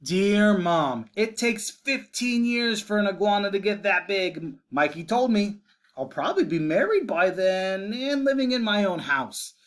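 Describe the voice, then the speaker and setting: A man's voice: high-pitched, lively intonation, a little slow. A man playing an eager, pleading young boy. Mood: insistent. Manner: earnest, wheedling, persuasive. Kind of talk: storybook read-aloud for children.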